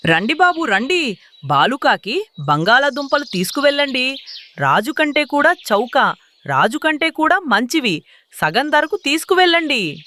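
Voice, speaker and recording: A woman's voice: sits high, big pitch swings, a little slow, pushed and bright, a little hiss or hum, heavily acted. A woman voicing a sly, brazen male crow who hawks vegetables in a children's cartoon. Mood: hawking. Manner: hawking, brazen. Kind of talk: children's animated story dialogue.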